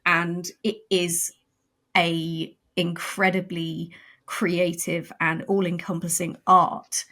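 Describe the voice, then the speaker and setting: Husky woman, enthusiastic guest. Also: lowish voice, slow pace. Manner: expressive, enthusiastic praising. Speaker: audio drama writer, guest. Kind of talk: conversational podcast interview.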